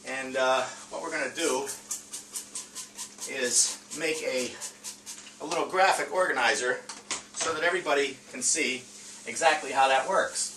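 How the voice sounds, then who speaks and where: Halting man teaching, roomy and distant. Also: medium pitch, pushed and bright. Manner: hesitant, halting, with frequent long filler pauses. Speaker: teacher presenting a reading lesson. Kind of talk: informal lesson presentation. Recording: roomy, distant mic with faint noise.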